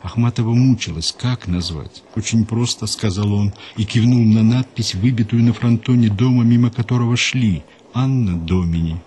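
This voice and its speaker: A man hosting a radio program, reading a scripted story in a measured, unhurried way and dropping lower and quieter for quotations. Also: slight background noise. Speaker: radio host and narrator.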